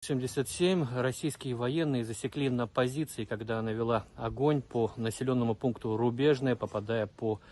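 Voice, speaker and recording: A man's voice: mid-range voice, a little fast, few pauses, a little mellow. A man reading out a news report, heard in a played-back clip.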